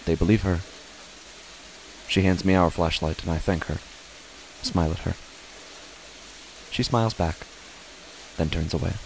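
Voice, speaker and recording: A man playing a father who narrates an uneasy horror story in measured, deliberate short phrases. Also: low voice, vocal fry, darkish tone, rough recording. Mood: sombre, tense. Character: father narrating his family's uneasy stormy night. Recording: faint hiss behind the voice.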